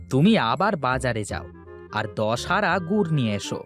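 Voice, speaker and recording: A man voicing a boastful, greedy village lad in an animated story, bragging as he goes. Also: medium pitch. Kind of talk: animated cartoon story voice acting. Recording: music behind the voice.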